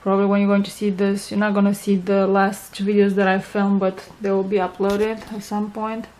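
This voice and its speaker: A young woman with a Romanian accent, a native Romanian speaker, chatting casually in a home vlog and often pausing to search for words. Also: medium pitch, monotone, darkish tone, low-quality recording. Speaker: vlogger.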